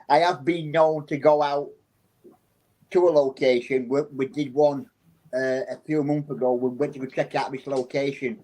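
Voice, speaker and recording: A man's voice: medium pitch, a little mellow, rough recording. A man from England with a South Yorkshire accent, a psychic guest telling rambling anecdotes in a casual interview, often restarting his sentences.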